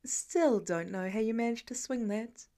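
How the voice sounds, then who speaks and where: A woman's voice: a little high, unhurried, mellow. A woman voicing an exasperated, teasing wife in a story, with a wry, firm manner.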